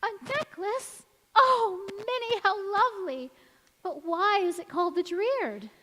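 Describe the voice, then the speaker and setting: A woman's voice: extremely high pitch, animated, unhurried, sharp tone, full character voice. A woman acting a sweet, sentimental young bride-to-be with earnest, theatrical delivery in an old-time radio drama. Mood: delighted. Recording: echoey church hall stage recording.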